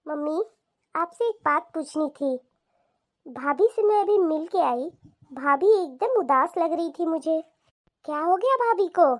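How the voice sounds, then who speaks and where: An earnest young married woman from a cartoon family drama , acted by a woman. Her voice is extremely high and girlish in a dry recording, and she speaks in a caring, pleading way. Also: animated, measured pace, dry recording. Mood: concerned. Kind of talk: animated family drama dialogue.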